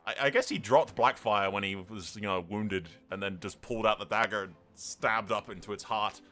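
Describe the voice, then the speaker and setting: Male gaming host, slightly low and bright voice, casual and sweary roleplay commentary. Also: normal speed. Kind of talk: casual, reactive video game roleplay commentary.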